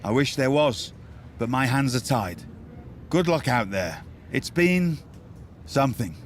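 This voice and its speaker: An actor playing a resigned, measured wrestling executive in a video game cutscene. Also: male voice, medium pitch, expressive, slight background noise, lightly acted. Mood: matter-of-fact, regretful. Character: resigned male wrestling executive breaking bad news. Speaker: wrestling company official character.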